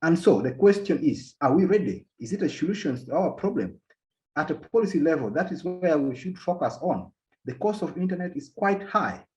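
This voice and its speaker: A man from Uganda giving an academic policy talk as a panel speaker, halting often and repeating words as he restarts his sentences. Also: fairly high pitch, lively intonation, a little mellow. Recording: dull lo-fi sound.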